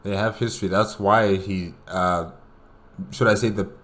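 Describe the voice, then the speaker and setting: Man, reaction host, very deep voice, musing, hesitant, casual. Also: fairly even intonation, unhurried, a bit bright.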